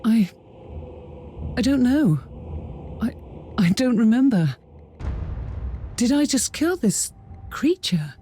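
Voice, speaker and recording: A woman voicing an earnest, serious character in a dark science-fiction war game, with dramatic delivery. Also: fairly high pitch, sing-song, slow, pauses often, a little hiss or hum. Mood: confused, frightened. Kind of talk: dramatic video game voice acting.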